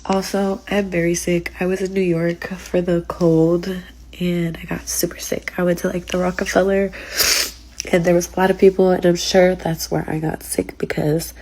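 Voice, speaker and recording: A woman's voice: fairly low pitch, level tone, normal speed, darkish tone. A congested woman from the United States chatting casually on a live stream, rambling with lots of "like" and "um". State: congested, sick with sinus trouble. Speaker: streamer answering chat.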